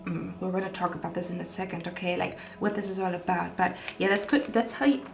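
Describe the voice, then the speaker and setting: A woman's voice: fairly low pitch, steady tone, fast talker, vocal fry, soft background music. A woman giving a casual pick-a-card tarot reading to camera, rambling with many fillers and asking "okay?" after her phrases. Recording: echoey room.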